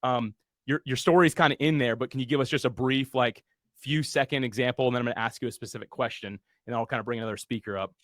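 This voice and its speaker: A man's voice: mid-range voice, steady tone, fast, a bit bright, dry recording. Man, webinar host, earnest, motivational, dry sound. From USA. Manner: earnest, motivational, casual fillers. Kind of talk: webinar launch party hosting.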